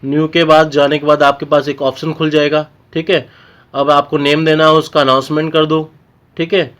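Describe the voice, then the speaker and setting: A man's voice: fairly high pitch, flat delivery, moderate pace, a bit bright. A man with an Indian accent giving an informal technical tutorial, explaining step by step and often checking in with short tags. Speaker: technical instructor.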